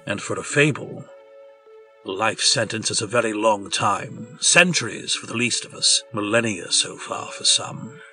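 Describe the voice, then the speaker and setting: A man voicing a stern talking-animal rebel leader with a raspy voice and a grave, forceful delivery in a comic dub. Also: fairly low pitch, lively intonation, measured pace, full character voice. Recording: distant mic, music behind.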